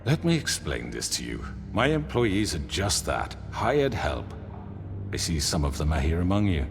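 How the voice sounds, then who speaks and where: An actor playing a smooth, manipulative crime boss in a video game, with measured, theatrical speechifying. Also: male voice, low voice, big pitch swings, exaggerated voice. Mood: calmly persuasive. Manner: measured, theatrical, silky self-righteous speechifying. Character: smooth, manipulative crime boss, self-justifying older man. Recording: reverberant hall sound under loud music.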